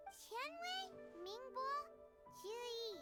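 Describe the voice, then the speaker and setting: A super high squeaky little fairy girl from a game, excitable, bouncy delivery. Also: drawn-out words, over music, exaggerated voice. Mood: curious. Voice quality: squeaky, childlike. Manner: refers to self in third person. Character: tiny cheerful childlike fairy companion girl, curious and excitable. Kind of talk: animated game dialogue.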